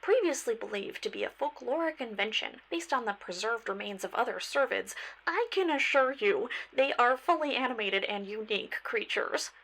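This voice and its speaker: An actress playing an earnest, awed field researcher, creaky-voiced, narrating an expedition log in an audio drama with precise, formal wonder. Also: female voice, a little high, animated, normal speed, fairly bright tone, rough recording.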